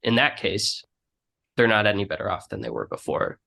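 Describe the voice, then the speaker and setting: A man interviewing a guest, laying out questions in a measured, conversational way. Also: lowish voice, big pitch swings, gravelly, bright, forceful tone. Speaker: podcast interviewer.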